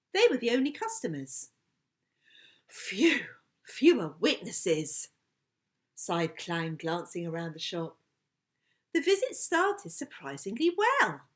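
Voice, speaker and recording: A woman reading a children's story aloud in an expressive, playful storytelling manner. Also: mid-range voice. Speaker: author reading her book.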